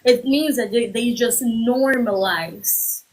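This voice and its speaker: A woman joining a livestream discussion, making her case steadily and deliberately, building her points by repetition. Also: a little high, low-quality recording. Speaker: livestream guest. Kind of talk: earnest argument on a livestream.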